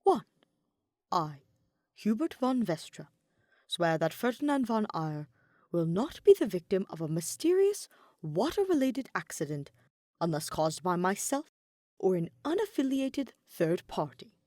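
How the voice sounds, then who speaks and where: A woman's voice: mid-range voice, big pitch swings, unhurried, dark tone, no room sound. A woman voicing a guarded, dour nobleman spymaster with a formal, dry, restrained manner in a story reading.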